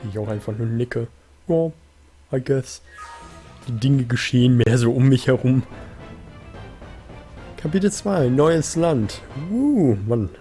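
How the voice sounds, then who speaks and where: A man's voice: medium pitch, expressive, slow pace, long pauses, mellow, faint music behind. A man giving casual, musing let's play commentary on a video game in a laid-back way. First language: German.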